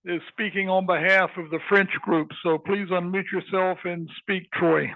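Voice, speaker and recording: High-voiced man moderating over a tinny call. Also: fairly even intonation, moderate pace, no room sound. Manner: polite, firm, keeps the meeting moving. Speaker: workshop moderator. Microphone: video call. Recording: tinny phone-like call audio.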